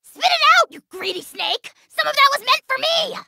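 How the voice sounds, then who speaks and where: A woman's voice: very high-pitched, very animated, normal speed, sharp tone, dry recording, studio quality, heavily acted. A woman voicing a small, self-important dragon lord in a video game, pompous, petulant and theatrically taunting. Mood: outraged. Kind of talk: video game character voice acting.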